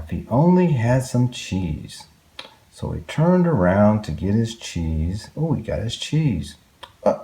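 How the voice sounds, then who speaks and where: A man's voice: fairly low pitch, dark tone. A man reading a picture book aloud to young children, expressively, with exclamations and questions to his listeners. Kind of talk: read-aloud picture book narration with asides.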